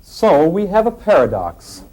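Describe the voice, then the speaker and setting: An actor playing a cautious eighteenth-century delegate, reasoning formally in a period drama. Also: male voice, sits high, huge pitch swings, unhurried, dark tone, heavily acted, rough recording. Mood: grave, reflective. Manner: formal, deliberating. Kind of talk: period stage-like debate acting.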